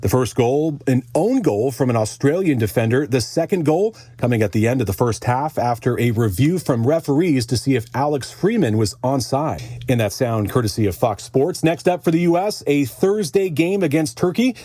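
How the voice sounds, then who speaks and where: An American man reading a scripted radio news bulletin as anchor, with a calm, measured, authoritative yet conversational delivery. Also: mid-range voice, no room sound, clean studio sound.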